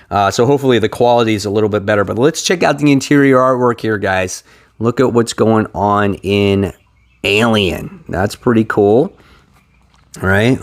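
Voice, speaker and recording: A man hosting a comic book haul video, talking in an informal, enthusiastic fan's manner as he shows things to viewers. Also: lowish voice. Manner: enthusiastic, informal fan talk. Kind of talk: casual show-and-tell commentary to viewers.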